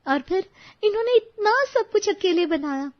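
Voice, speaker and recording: A woman voicing a grateful young mother who effusively praises her household cook in an animated moral story. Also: very high-pitched, moderate pace, lo-fi sound. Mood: warm praise. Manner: effusive, admiring.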